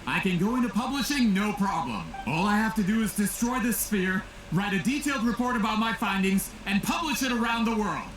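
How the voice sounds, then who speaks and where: High-pitched pompous scheming merchant. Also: male voice, expressive, a little fast, hardly any pauses, a bit bright, roomy sound, recorded from a distance, low-quality recording. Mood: smug, scheming. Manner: pompous, theatrical, disdainful. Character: scheming greedy male merchant villain, pompous and scornful. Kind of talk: video game voice acting.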